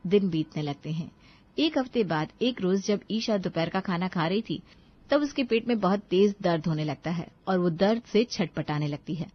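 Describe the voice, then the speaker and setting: A woman's voice: on the low side, animated, moderate pace, dull audio. A woman narrator reading a scripted family story.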